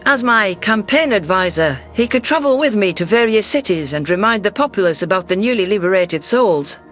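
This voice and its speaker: Sci-fi audiobook narrator: man with a high voice, first-person scripted delivery over quiet music. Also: expressive, brisk. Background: faint music.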